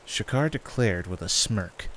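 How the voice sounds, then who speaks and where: A man's voice: fairly low pitch, lively intonation, unhurried, fairly bright tone, a little hiss or hum. A man reading scripted fantasy story narration as the narrator of an audio drama.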